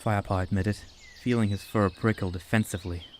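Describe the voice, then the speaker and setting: A man giving steady, scripted storybook narration for a fan-made audiobook. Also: fairly low pitch, a little fast, a little hiss or hum.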